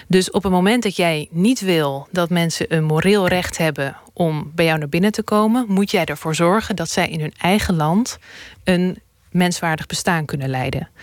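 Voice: medium pitch, dry recording. An interview guest reasoning step by step in a reflective, argumentative way, like an academic in a studio conversation.

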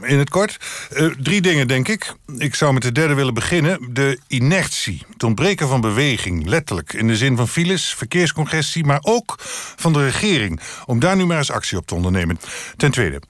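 A corporate board chairman in a radio satire interview. He is earnest, pedantic and businesslike, with a lively, swinging intonation and a mid-range pitch. The sound is dry and close. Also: dry recording. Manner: formal, businesslike, measured.

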